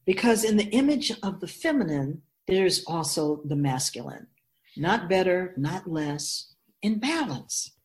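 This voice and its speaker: Radio drama: ageless female keeper of souls an actress, wise and instructive, deliberate pauses, lower register with animated melody. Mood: reverent, solemn. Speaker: teacher figure in a radio drama. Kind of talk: scripted radio drama, explanatory dialogue.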